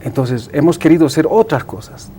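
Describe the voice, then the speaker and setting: A man's voice: fairly high pitch, expressive, moderate pace, mellow, slight background noise. A man, an Aymara speaker, explaining things emphatically and didactically as an interview guest. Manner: emphatic, didactic, repeats and seeks agreement.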